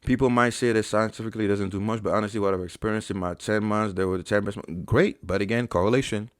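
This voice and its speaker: Young male fitness host, deep voice, dry booth sound, profane and exasperated. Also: steady tone, quick pace, clean tone, dry recording. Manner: blunt, profane, exasperated debunking. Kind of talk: live Q&A, reading chat questions.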